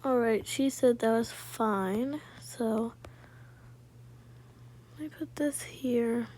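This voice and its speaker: A soft-spoken woman casually narrating her own gameplay in a low-key roleplay style, often dropping to a whisper. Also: slow pace. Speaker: gaming video creator voicing her own gameplay.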